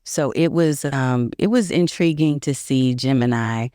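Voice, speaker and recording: A woman's voice: extremely low pitch, unhurried, mellow, no echo, clean studio sound. A woman, a video marketing coach, speaking as a guest on a podcast in an upbeat, casual way, laughing easily. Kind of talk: casual interview.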